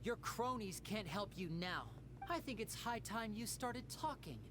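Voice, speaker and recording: A woman playing a composed, shrewd young character in a game, speaking in a cool, deliberate, controlled way. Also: mid-range voice, expressive, noisy background, natural voice. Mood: accusing. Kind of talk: dramatic game voice acting.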